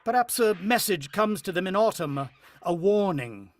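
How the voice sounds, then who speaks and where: An actor playing a brooding, uneasy husband and father in a vintage-style radio drama, musing with dramatic pauses. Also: high-pitched, lively intonation.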